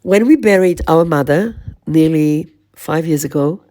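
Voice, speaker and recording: Slow, husky-toned woman podcast host. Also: deep voice, dark tone. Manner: slow, measured, lingering on lists. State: voice strained, losing her voice. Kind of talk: reflective spoken podcast monologue.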